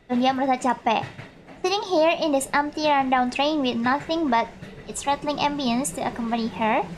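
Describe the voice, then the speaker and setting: High-pitched Indonesian woman livestreaming. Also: fairly quick, slight background noise. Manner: stumbles over English words, repeats herself, giggles at own mistakes. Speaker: livestreamer playing and reading a visual novel. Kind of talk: casual livestream chat and read-aloud of game text.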